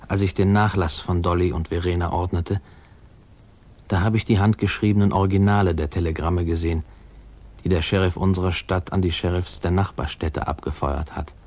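A grown man reminiscing about his boyhood in an old radio drama. His low, husky voice tells the story in a wry, reflective way with musing pauses. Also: darkish tone. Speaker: narrator. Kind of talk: 1950s radio play narration, storytelling.

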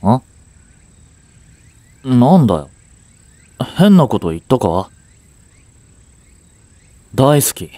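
A man's voice: mid-range voice, big pitch swings, extremely slow, long pauses, warm tone, dry recording, heavily acted. A young man acting a curt, flustered boyfriend who hides his affection, with a hesitant, brusque delivery in a romance audio drama. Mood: tender, earnest. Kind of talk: Japanese romance audio drama aimed at women.

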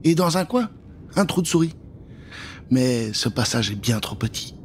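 Male storyteller, thirties, dramatic delivery for children, animated intonation, close mic, some background noise. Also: mid-range voice, moderate pace. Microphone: close studio microphone with pop filter.

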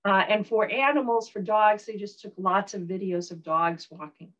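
A woman professor with a Southern US accent giving a recorded online lecture, relaxed, with frequent ums and uhs. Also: mid-range voice, moderate pace, highs cut off.

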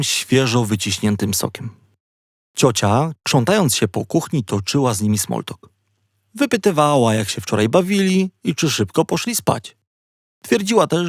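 A man narrates a children's story in a mid-pitched voice. He speaks conversationally, slipping in wry, playful asides to the listener. The recording is dry and free of room sound. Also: dry recording. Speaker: audiobook narrator. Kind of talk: scripted narration of a children's audiobook.

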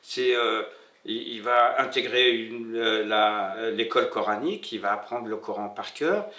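A middle-aged man from Morocco who learned French later in life, telling his own story reflectively and haltingly, searching for his words. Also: lowish voice, bright, forceful tone. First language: not French, learned it after moving to France. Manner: halting, searching for words with many pauses and repetitions. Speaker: interviewee, bookseller. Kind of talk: reflective personal storytelling in an interview. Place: indoor room with bare wall, seated. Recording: roomy echo, slightly distant mic.

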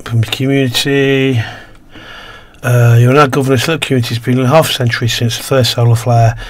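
A man with a croaky, slightly coughing throat, casually commentating a game, reading on-screen text aloud and muttering to himself as he plays. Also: medium pitch, flat delivery, fairly quick. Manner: mumbles to himself while playing, reads game text aloud. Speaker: gaming video host. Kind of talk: casual let's-play commentary.

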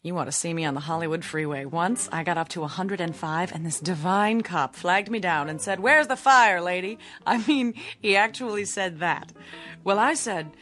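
An actress playing a headstrong, flirtatious Hollywood star in a radio drama, chatty, theatrical and teasing. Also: female voice, on the low side, moderate pace, a bit bright, faint music behind, natural voice. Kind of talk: modern radio drama acting.

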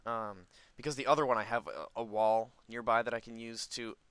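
A man's voice: medium pitch, steady tone, brisk, fairly bright tone, smooth, no echo. A man giving casual, unscripted gameplay commentary, rambling as he thinks aloud. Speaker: gaming video host.

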